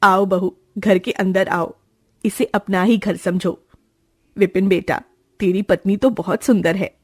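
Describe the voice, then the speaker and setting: Kindly older mother-in-law, welcoming, a bit lower, played by a woman; mellow, husky tone. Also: fairly even intonation, measured pace. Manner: warm, welcoming.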